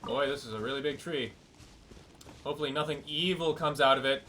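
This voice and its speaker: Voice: medium pitch, fairly quick, fairly bright tone, slight background noise. Offhand, sweary game streamer. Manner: sweary, offhand, muttering reactions. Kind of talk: casual playthrough commentary. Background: video game music.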